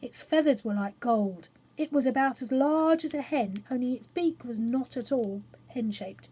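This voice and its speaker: A woman narrating a children's story expressively, with dramatic pauses and emphasis. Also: on the high side, warm tone, slightly rough. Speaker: storyteller reading a children's book.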